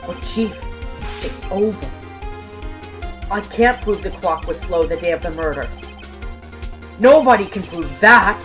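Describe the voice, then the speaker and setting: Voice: medium pitch, expressive, a little slow, long pauses, lightly acted. Earnest young defense lawyer played by an actor. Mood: startled, despairing. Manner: dramatic, emphatic, earnest. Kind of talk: video game fandub dialogue and narration. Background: game music.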